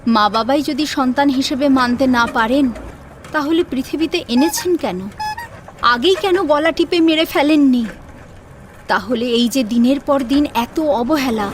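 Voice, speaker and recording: A woman's voice: sits high, a little hiss or hum. A woman narrating an audio story in a dramatic, scripted storytelling style. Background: faint background music.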